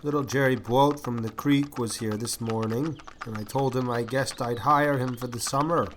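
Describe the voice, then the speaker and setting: A man playing a quiet, reticent farmer in a storybook, mild, understated and offhand. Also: medium pitch, fairly even intonation, moderate pace, a little hiss or hum, natural voice.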